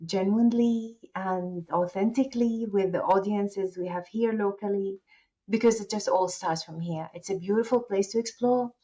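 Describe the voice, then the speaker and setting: A woman whose first language is Arabic talks in a polite, thoughtful, wandering way as an interview guest. Her voice sits at a middle pitch. The sound is dull and lo-fi. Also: normal speed, mellow. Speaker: guest, agency founder. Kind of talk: interview conversation.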